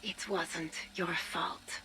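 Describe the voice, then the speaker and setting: An actress playing a determined young woman with powers, speaking softly and often half-whispered. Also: on the low side, steady tone, extremely slow, slight background noise, full character voice, poor audio.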